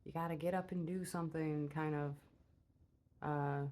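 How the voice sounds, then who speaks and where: A woman with a low, soft voice talks her way through a tarot reading in a relaxed, unhurried way. She meanders and fills her pauses with little hesitations. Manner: rambling and meandering, many filler "uh"s. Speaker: tarot reader. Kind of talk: casual spoken tarot reading.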